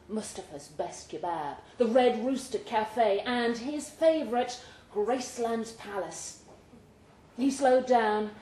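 A woman reading fiction aloud at a live event in an expressive storytelling style. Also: mid-range voice. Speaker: short story reader at a live literary event. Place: indoor pub event room. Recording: roomy pub recording with faint noise.

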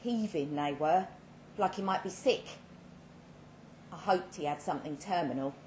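A woman giving a measured, dramatic reading of a first-person short story at a live event, with pointed pauses. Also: sits low, a little mellow. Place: indoor live literary event. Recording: roomy live venue, clear background noise.

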